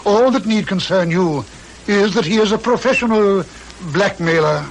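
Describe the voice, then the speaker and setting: An actor playing a pompous, condescending English doctor and detective in a vintage radio drama, speaking precisely. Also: male voice, sits high, normal speed. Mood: smug, dry. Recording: noisy old radio recording.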